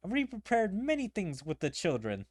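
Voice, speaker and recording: A streamer voicing excitable, childish fantasy game characters by reading their dialogue aloud dramatically. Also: mid-range voice, moderate pace, no echo, studio quality. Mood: gleeful announcing.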